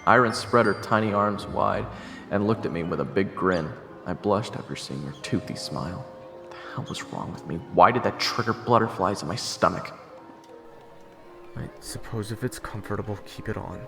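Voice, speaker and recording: A man playing a sarcastic young traveler who narrates his own adventure in a wry, expressive, snarky way. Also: lowish voice, normal speed, gravelly. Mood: dry sarcasm. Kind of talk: first-person dramatic narration and dialogue. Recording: roomy echo with long reverb, music behind.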